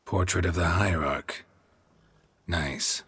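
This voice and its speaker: Very husky, low-voiced laconic monster hunter. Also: male voice, slow, exaggerated voice. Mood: terse, polite. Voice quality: raspy, gravelly. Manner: deadpan, terse. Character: gruff, laconic adult male monster hunter for hire. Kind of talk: dramatic video game dialogue.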